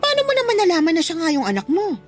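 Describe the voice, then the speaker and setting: A woman acts as a supportive older friend in a Filipino radio drama. Her high voice swings freely in pitch, breezy and teasing, with soft music behind it. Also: wildly swooping pitch, racing, heavily acted. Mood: curious, doubtful. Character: Ate Linda, chatty, supportive older female friend.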